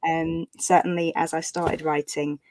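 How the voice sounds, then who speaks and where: Low-pitched woman, hesitant and giggly. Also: level tone, a little slow, darkish tone, a little hiss or hum, low-quality recording. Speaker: author hosting online book launch. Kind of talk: casual live chat about writing. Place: live online video call.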